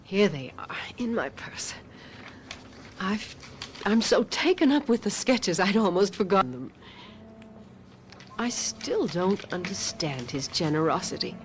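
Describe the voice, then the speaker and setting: An actress playing a vain, excitable middle-aged society lady and mother, genteel and gushing, in an old-time radio drama. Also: mid-range voice, unhurried, long pauses, slight background noise. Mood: delighted, curious.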